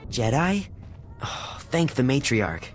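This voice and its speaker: An actor playing an earnest, frightened young male villager held captive in a video game, speaking in a halting, pleading way. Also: mid-range voice, sing-song. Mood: anxious, pleading. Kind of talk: video game character acting. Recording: game music behind voice.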